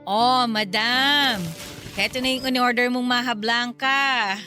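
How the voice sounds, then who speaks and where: A woman playing a chatty, teasing middle-aged neighbor in a radio drama, with a Filipino accent and an animated, gossipy manner. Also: fairly high pitch, slow, over music. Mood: friendly.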